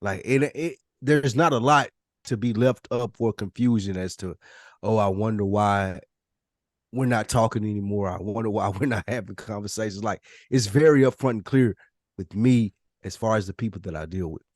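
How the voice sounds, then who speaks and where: A man's voice: on the low side, normal speed, darkish tone, dry recording, clean studio sound. A man chatting casually on a podcast, blunt and often restarting his phrases as he explains himself. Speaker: podcast panelist. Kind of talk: casual chat.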